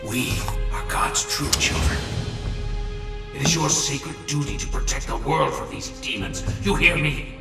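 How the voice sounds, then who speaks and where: A man playing a stern, fanatical father who preaches and demands obedience in a gravelly, breathy voice. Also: a little high, unhurried. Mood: bitter, fervent. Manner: preachy, commanding. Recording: echoey, distant, loud music behind.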